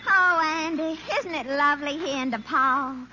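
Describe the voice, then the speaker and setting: A woman's voice: sits high, moderate pace, over loud music, natural voice. A woman playing a gushing, affected, lovestruck actress fiancée in an old radio sitcom. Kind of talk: 1950s radio sitcom acting. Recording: muffled, very noisy old radio recording.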